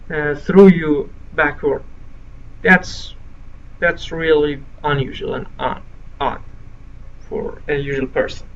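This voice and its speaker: A Farsi-speaking man, giving casual game commentary in halting English as he searches for words. His voice sits a little high. The recording is distant and muffled, with faint noise behind it. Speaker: gaming video host. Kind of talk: casual let's-play commentary.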